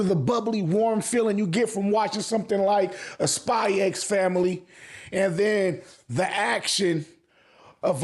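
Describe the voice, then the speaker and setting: A man giving casual, slangy video commentary as an anime reviewer, swearing freely and repeating phrases for emphasis. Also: high voice.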